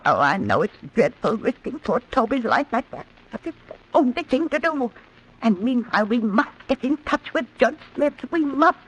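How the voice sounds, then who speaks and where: Shrewd old aunt, swooping voice. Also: female voice, a little high, big pitch swings, moderate pace. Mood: grimly determined. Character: strong-willed, suspicious elderly aunt, determined and shrewd. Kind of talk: old-time radio horror acting. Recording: noisy old radio recording.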